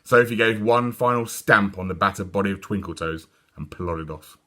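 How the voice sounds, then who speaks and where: A man with a British accent narrating a children's story in a deliberate, expressive storytelling manner. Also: lowish voice. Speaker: story reader.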